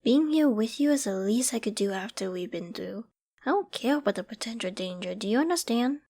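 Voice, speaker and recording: A woman acts out a clingy young female survivor of a zombie apocalypse in an ASMR audio drama. Her voice is on the high side, emotional and halting, prone to stammers and hushed dips, with an obsessive devotion underneath. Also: animated. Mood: pleading, insistent. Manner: emotional, halting and stammering, often dropping to whispers and sighs.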